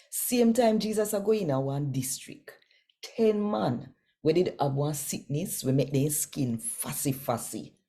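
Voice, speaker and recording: A woman with a Jamaican accent, reading scripture aloud and addressing viewers in an expressive storytelling way with deliberate pauses. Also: low-pitched.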